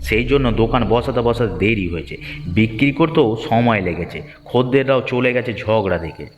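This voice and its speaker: A man's voice: medium pitch, animated, brisk, lightly acted. A man voicing a stubborn, irritable village market vendor in a cartoon, curt and argumentative. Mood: irritated. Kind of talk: cartoon dialogue acting. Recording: long reverb, music behind.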